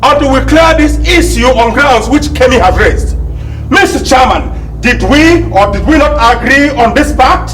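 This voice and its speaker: An actor with a Nigerian accent playing an indignant, argumentative party member in a TV drama, emphatic and formal. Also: male voice, very high-pitched, lively intonation, normal speed, fairly bright tone, echoey room, recorded from a distance, faint music behind, low-quality recording. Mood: defiant. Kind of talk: TV drama acting.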